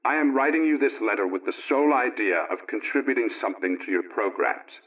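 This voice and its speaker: A man playing an earnest, folksy middle-aged family man, reading out his letter in a deliberate, plain-spoken way for 1920s radio. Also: fairly low pitch, fairly bright tone, lightly acted. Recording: dull, lo-fi old radio recording.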